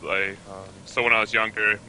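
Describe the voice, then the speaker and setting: Laid-back young man, bright voice. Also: fairly low pitch, flat delivery, normal speed, a little hiss or hum, poor audio. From Canada. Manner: laid-back, many "like" fillers. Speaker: guest esports player. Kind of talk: casual interview chat.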